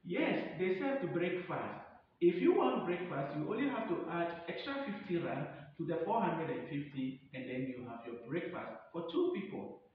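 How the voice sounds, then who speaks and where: A man's voice: big pitch swings, normal speed. A man in his thirties playing a chatty, eager receptionist recommending a place in a promotional skit, recorded in a tiled room. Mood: eager, persuasive. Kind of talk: acted promotional dialogue. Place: indoors, tiled front room. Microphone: distant camera or phone across the room. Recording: echoey room, distant dull mic, rumbling noise.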